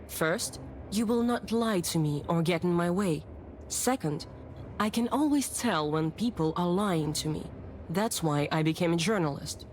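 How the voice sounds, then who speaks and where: A woman's voice: lowish voice, darkish tone, slight background noise. A woman playing a bold, imperious young journalist in a period visual novel, haughty and assertive. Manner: haughty, assertive, crisp commands.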